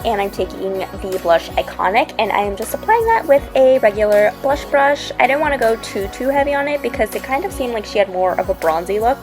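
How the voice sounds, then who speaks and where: A young woman in her twenties narrating a makeup tutorial in a relaxed, chatty way, slightly sniffly from a cold. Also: a little high. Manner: relaxed, chatty step-by-step explaining. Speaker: beauty vlogger. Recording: voice-over with loud background music. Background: music.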